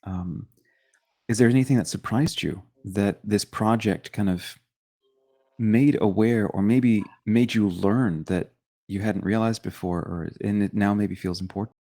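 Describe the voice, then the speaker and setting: A man's voice: lowish voice, measured pace, warm tone. A man interviewing someone in a casual, conversational way, tentatively working his questions out as he speaks.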